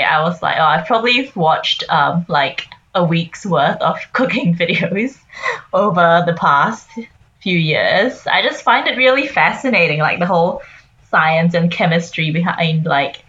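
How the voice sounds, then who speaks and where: A woman's voice: on the low side, a bit bright. A young woman co-hosting a podcast, chatting casually with giggles and many likes and ums. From Singapore and Australia. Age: young adult. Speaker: podcast co-host, audiologist. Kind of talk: casual chat. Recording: dull lo-fi recording.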